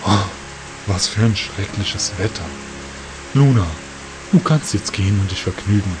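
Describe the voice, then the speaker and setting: A man's voice: fairly low pitch, measured pace, over music, voice pushed down, lightly acted. A man voicing a friendly moth rider in an audio drama, talking affectionately to his mount. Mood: gentle, caring. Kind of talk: amateur audio drama dialogue.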